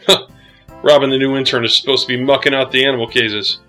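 A man's voice: mid-range voice, little pitch movement, fast talker, bright, forceful tone, faint music behind, close to an everyday voice, low-quality recording. A man playing a sensible, exasperated studio colleague who corrects and questions the others in a comedy audio drama.

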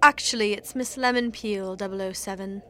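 A woman's voice: medium pitch, steady tone, normal speed, fairly bright tone, natural voice. A woman playing a poised lady spy in a 1960s television parody, prim, cool and precise. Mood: coolly amused.

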